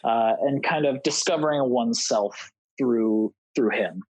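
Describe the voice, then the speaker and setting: A man's voice: mid-range voice, measured pace, a little mellow, no room sound, rough recording. Man chatting casually, dry close sound. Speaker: podcast guest, audio drama writer. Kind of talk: casual panel discussion.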